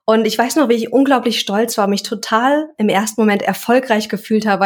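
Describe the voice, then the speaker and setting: A young woman speaks in a calm, reflective way as an interview guest. She talks about her work in an even, conversational tone. Now and then she stops to search for a word. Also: medium pitch. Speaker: guest, self-employed coach. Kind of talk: casual interview talk.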